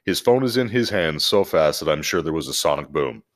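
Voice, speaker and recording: A man's voice: extremely low pitch, animated, brisk. A man hosting a podcast in a casual, jokey way with plenty of swearing. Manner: profane, jokey. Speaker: podcast host. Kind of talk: casual podcast chat and animated story reading.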